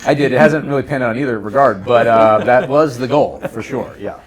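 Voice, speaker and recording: Man, mid-pitched voice, podcast host, casual interview chat, joking, conversational. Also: a little fast.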